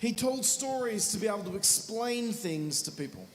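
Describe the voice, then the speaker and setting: An Australian man preaching a sermon in short phrases, pausing between each one for his interpreter. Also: sits high, animated. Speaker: evangelist preacher. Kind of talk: sermon delivered through an interpreter. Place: church hall. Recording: reverberant hall with faint music.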